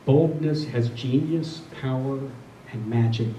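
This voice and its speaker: A middle-aged or older man giving a reflective spiritual talk to a group, speaking earnestly and deliberately, often repeating phrases. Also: mid-range voice, dark tone. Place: room with a listening group. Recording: roomy, distant mic, faint noise.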